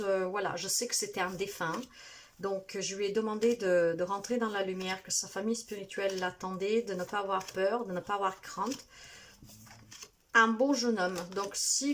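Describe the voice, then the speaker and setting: A woman spiritual healer speaking to her viewers in a soft, earnest, halting way, full of fillers, and sounding tired. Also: mid-range voice, slight background noise. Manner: hesitant, halting, many fillers. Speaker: spiritual healer and card reader. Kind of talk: casual monologue to viewers.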